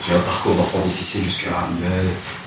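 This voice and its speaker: Low-voiced hesitant man in an echoey room. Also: completely flat delivery, brisk, over music. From Rwanda. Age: 30s. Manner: hesitant, many restarts and fillers, soft-spoken. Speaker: interviewee. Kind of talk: casual life-story interview. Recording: echoey room, distant mic, noisy.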